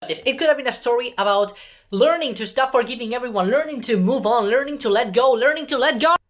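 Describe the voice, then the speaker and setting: Female fan commentator with a fairly high voice. Impassioned, exasperated opinion rant, emphatic and informal. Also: fast. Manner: emphatic, exasperated, repeats phrases for effect; casual speech with swearing.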